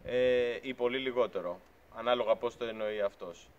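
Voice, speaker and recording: A man in his thirties speaks to the camera outdoors, earnest and a little argumentative as he makes his case. His voice sits in a middle pitch. The recording is slightly dull and lo-fi, picked up from a camera a few steps away. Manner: earnest, argumentative, gestures while talking. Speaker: beekeeping channel host. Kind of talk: casual talk to camera. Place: outdoors by a pickup truck at a farm shed.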